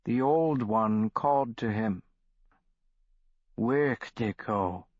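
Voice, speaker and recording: Man voicing solemn old shaman, measured, dry dull audiobook recording. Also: lowish voice, no echo.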